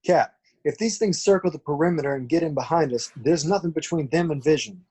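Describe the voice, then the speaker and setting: Husky, low woman as a military scout. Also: a little fast, dark tone. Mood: alert. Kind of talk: amateur table read of a film script.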